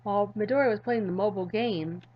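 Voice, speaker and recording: A woman with a medium-pitched voice hosts a gaming video. She reads visual novel narration aloud and talks casually to viewers. The sound is a little dull and lo-fi. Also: fast. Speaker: gaming YouTuber.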